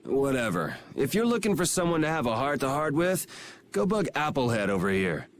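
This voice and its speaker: An actor playing a laid-back, scruffy middle-aged drifter who talks in an offhand, drawling way. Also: male voice, mid-range voice, very animated, brisk, noisy background, close to an everyday voice. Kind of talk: video game voice acting.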